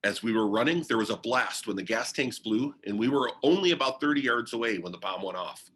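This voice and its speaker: A man's voice: medium pitch, expressive, fairly quick, fairly bright tone, slight background noise. A man reading a biography aloud as narration, in the style of a book for young listeners.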